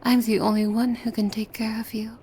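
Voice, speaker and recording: A woman playing a possessive, devoted demon maid in an ASMR roleplay, coy and formal, with a soft breathy voice that often slips into whispers. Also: on the high side, flat delivery, a little fast, slight background noise, close to an everyday voice. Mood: sweetly menacing. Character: possessive, devoted young female demon maid, sweetly menacing. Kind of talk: ASMR roleplay, scripted monologue to the listener.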